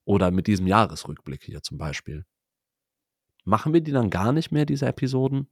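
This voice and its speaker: Man with a cold, low voice. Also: fairly quick, slightly rough, no echo, clean studio sound. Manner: reflective, talks in loose run-on sentences. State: has a cold. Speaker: podcast co-host. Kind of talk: casual podcast chat.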